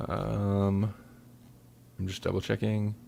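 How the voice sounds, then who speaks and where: A man hosting a casual podcast chat, often halting and thinking aloud as he talks. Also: lowish voice, monotone, creaky, dark tone, slight background noise. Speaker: podcast host.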